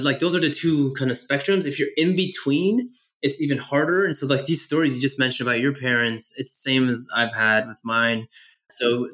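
A man's voice: medium pitch, fairly bright tone. A male podcast host with a mid-range voice, asking long, meandering questions. He leans on "like" and restarts, keeping things casual with his guest. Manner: loose, rambling questions full of "like" and restarts. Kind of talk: casual interview chat.

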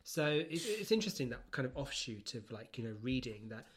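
A British man co-hosting a podcast, chatting casually and humorously about books in an animated, chatty way. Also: mid-range voice, fairly quick. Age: adult, over 25. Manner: animated, chatty, giggly storytelling. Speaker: podcast co-host. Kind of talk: casual humorous book chat.

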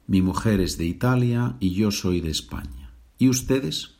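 A man from leads a listening exercise as a teacher. His intonation is highly animated, rising and falling widely. He speaks deliberately and didactically, setting out each practice sentence for learners. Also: vocal fry. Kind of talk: language lesson, reading numbered practice sentences.

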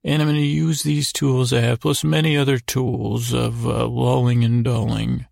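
A man hosting a sleep podcast with a meandering, halting bedtime monologue, repeating words and trailing off in a creaky, gravelly voice. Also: mid-range voice, little pitch movement, a little mellow, no room sound, clean studio sound. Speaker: podcast host. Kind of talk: rambling bedtime monologue to help listeners sleep.

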